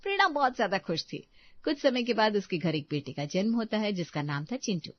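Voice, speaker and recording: A woman's voice: medium pitch, sing-song, brisk, no room sound, lo-fi sound. A woman storyteller reading scripted narration of a moral story.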